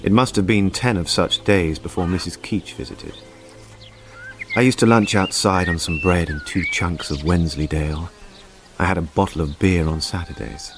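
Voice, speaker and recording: An actor playing a wry, weary war veteran, reflective and polite, in a radio drama. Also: male voice, low voice, moderate pace, music in the background.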